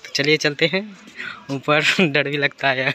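A young man vlogging around an outdoor water park, chatty and excited as he shows the slides. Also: a little high, measured pace. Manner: excited, chatty tour-guide talk. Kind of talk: casual travel vlog. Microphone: phone held by hand. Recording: phone recording with clear background noise.

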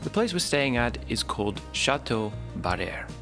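A young man narrating a vlog in a casual, relaxed, informal way, explaining things as he goes. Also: medium pitch, normal speed. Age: young adult. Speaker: vlogger. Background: music.

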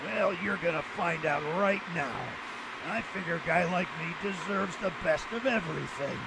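A man in a comic dub playing a taunting, swaggering criminal supervillain with a gravelly voice. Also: high voice, normal speed. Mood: demanding. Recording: very noisy amateur recording.

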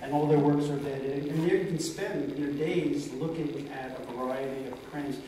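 A man on a panel rambling through an explanation full of "you know"s. He sounds distant in a strongly echoing room, with a clear hiss. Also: mid-range voice, steady tone, moderate pace. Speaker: print collector on a panel. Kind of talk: casual panel discussion. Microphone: far camera. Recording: echoey room, far off, audible hiss.

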